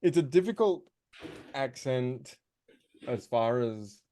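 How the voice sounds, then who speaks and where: A man's voice: mid-range voice, lively intonation, slow pace, dark tone, dry recording. A man chatting casually as an interview guest, often restarting his phrases as he goes. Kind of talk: casual chat.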